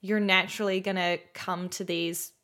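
A woman's voice: on the low side, little pitch movement, normal speed, a bit bright. Young woman podcast cohost, upbeat and chatty, says like a lot. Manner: chatty, many "like" fillers, upbeat. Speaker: podcast co-host. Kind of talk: casual chat.

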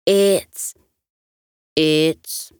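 Woman doing a slow, hesitant male animal. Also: deep voice, steady tone, a little mellow, smooth, dry recording, clean studio sound. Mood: amazed. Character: polite, hesitant male animal diner in bedtime story.

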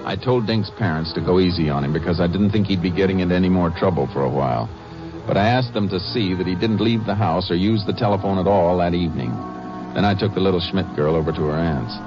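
A man's voice: low-pitched, fast, over music, lightly acted. An actor playing a seasoned, fatherly but firm Texas Ranger, plain-spoken in the manner of a 1950s radio western. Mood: matter-of-fact narrating. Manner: folksy, firm, questioning. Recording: muffled, noisy 1950s radio recording.

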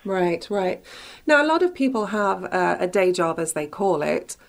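A woman with a notably low-pitched voice hosts a radio interview. She speaks conversationally, as a host does when chatting with a guest and moving the talk along. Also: normal speed, creaky.

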